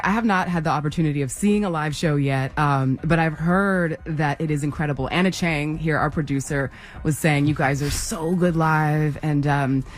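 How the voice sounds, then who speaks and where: A radio host in a studio, running a casual interview with a chatty, rambling way of asking questions and frequent laughter. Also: medium pitch.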